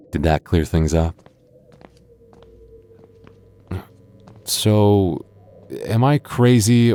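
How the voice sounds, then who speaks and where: A man playing a devoted, earnest young boyfriend in an intimate audio roleplay, speaking haltingly with stammers. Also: deep voice, darkish tone. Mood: tender, earnest, then exasperated, incredulous. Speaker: voice actor.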